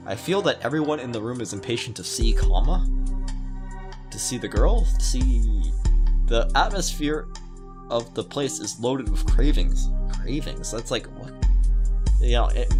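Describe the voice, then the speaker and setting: A man doing casual video game commentary, reading text aloud and rambling off into his own musing asides. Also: mid-range voice. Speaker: video game let's player. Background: game music.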